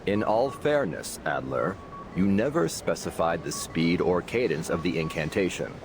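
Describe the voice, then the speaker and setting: Deep smug-dry scientist, super pedantic, swingy intonation, game scene. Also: male voice, expressive, moderate pace. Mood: dry, needling. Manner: pedantic, wordy, needling. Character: pedantic, unflappable male researcher with dry wit. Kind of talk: dramatic video game voice acting. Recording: clear background ambience noise.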